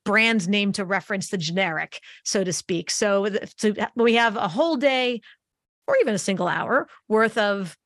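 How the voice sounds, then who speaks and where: A woman in her forties hosting a podcast interview, chatty and lively, speaking into a boom mic while wearing headphones. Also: normal speed, no echo, clean studio sound. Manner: energetic, talks with her hands, restarts phrases. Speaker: podcast host. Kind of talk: conversational interview. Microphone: boom mic on arm, wearing headphones.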